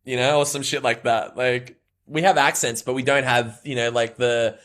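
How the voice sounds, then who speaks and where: A man's voice: medium pitch, fairly even intonation, normal speed, a bit bright. A young man with an Australian accent, hosting a podcast in a laid-back, swearing, joking way. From Argentina. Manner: laid-back, swears freely, laughs often. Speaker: podcast host. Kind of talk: casual chat.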